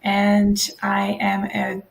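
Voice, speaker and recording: An older woman from Canada, a grandmother, introducing herself in a relaxed, friendly way. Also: mid-range voice, drawn-out words, gravelly. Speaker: panelist. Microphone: video call. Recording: roomy echo.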